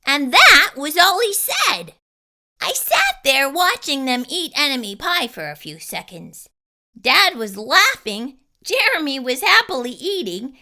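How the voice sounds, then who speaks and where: Very bright, high-pitched woman storyteller. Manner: animated, deliberate, emphatic phrasing. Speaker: children's storybook reader. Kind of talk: expressive read-aloud narration for kids.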